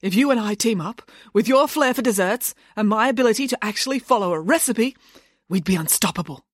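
A woman's voice: medium pitch, expressive, a little fast, no echo, studio quality, pitched above the performer's own voice, natural voice. A woman voicing a prim, scheming rival nanny in a children's storybook, fussy and critical with a false sweetness. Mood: brisk, bossy.

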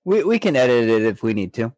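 A man's voice: mid-range voice, big pitch swings, quick pace, a little mellow, dry recording, studio quality. A man co-hosting a podcast in casual conversation, animatedly retelling scenes he enjoys. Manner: animatedly acts out quoted scenes. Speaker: podcast co-host. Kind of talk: casual chat about an anime parody.

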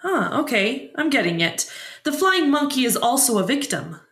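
Audio drama: curious woman presenter steering a debate with questions, animated delivery, roomy echoing sound. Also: high-pitched, normal speed, full character voice. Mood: curious, probing. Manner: questioning, prompting. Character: Meg, inquisitive, probing woman talk show host. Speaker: debate show host. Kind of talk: audio drama dialogue as a broadcast interview.

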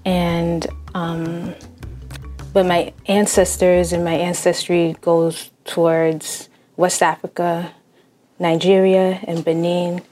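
Mellow, low-voiced reflective young woman. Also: level tone, takes it slowly, soft background music. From Cambridge, Massachusetts. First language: Haitian Creole. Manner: reflective, frequent "like" fillers. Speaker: guest. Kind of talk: reflective casual conversation.

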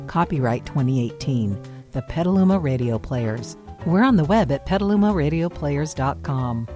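Low, mellow woman announcer. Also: lively intonation, moderate pace, faint music behind. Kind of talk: scripted credits announcement.

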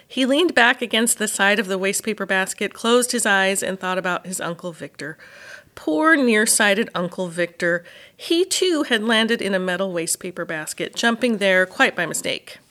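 A woman's voice: medium pitch, animated, normal speed, sharp tone. A woman who teaches elementary school, reading a children's novel aloud as an audiobook narrator.

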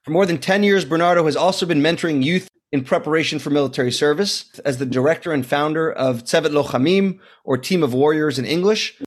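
Male host, thirties, slightly higher voice, polished scripted intro. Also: a little fast, hardly any pauses. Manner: scripted introduction, friendly questions. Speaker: podcast host. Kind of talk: interview hosting.

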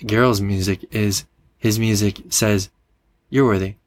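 A woman's voice: rumbling low, steady tone, measured pace. A woman hosting a podcast in casual conversation, agreeable and affirming, often putting in short interjections. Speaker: podcast host.

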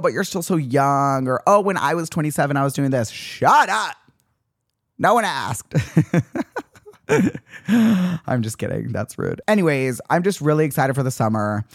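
A young man in his twenties hosts his own podcast alone, chatting in a sassy, animated way. His voice sits at an ordinary middle pitch. The recording is close and dry, with no room sound around it. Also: no echo. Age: 20s. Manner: sassy, animated rants with mock-indignant quoted voices. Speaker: podcast host. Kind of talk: solo podcast monologue.

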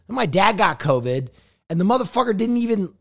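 A man's voice: high-pitched, sing-song, brisk, creaky, a bit bright. A man co-hosting a podcast, teasing and joking with profane interjections. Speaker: podcast co-host. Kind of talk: casual podcast chat.

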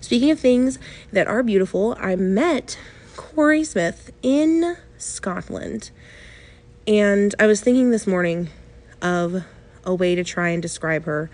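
A woman's voice: medium pitch, slow, a little mellow. Effusive woman podcast host. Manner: upbeat and effusive, laughs easily. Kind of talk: casual chat.

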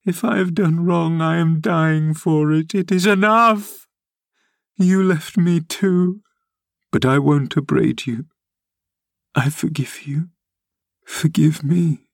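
A man voicing a high, desperate dying young woman. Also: fairly even intonation, takes it slowly, creaky, long pauses, no echo, studio quality. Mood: sobbing, forgiving. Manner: sobbing, pleading, breathless outbursts. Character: dying young woman, desperate, passionate, clinging to her lover. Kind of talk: dramatised classic novel reading.

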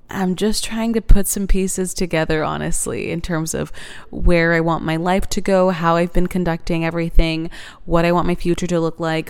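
Earnest young woman, smooth lowish voice. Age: 20s. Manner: earnest, warm-hearted, laughs often, many fillers. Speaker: podcast host. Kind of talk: reflective faith podcast monologue.

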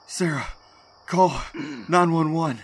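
A woman's voice: low-pitched, slow pace. A woman playing a nervous but brave friend in an audio horror play. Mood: alarmed.

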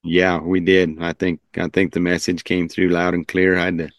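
A male podcast host with a very deep, even, flat delivery and a smooth, resonant voice. He sounds earnest and encouraging on a dry video call. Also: moderate pace, dry recording. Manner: earnest, affirming, frequent backchannels. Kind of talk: interview hosting.

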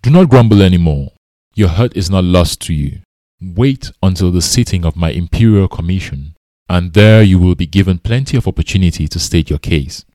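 A man's voice: deep voice, animated, moderate pace, warm tone, no room sound. A man voicing a noble lion king in a storybook fable, speaking in a lordly, authoritative manner. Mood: regal.

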